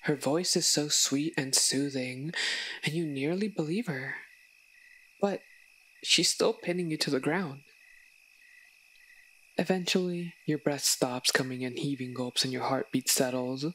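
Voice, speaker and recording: A woman narrating a scripted second-person story in a measured way, with dramatic pauses. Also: low voice, fairly even intonation, a bit bright, breathy edge, low-quality recording. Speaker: narrator, audio roleplay voice performer.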